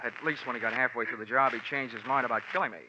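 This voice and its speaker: An actor playing a hard-boiled, wisecracking investigator with an American accent, wry and deadpan in 1940s radio detective style. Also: male voice, medium pitch, very fast, lightly acted. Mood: wry, matter-of-fact. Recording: muffled, hissy 1940s radio recording.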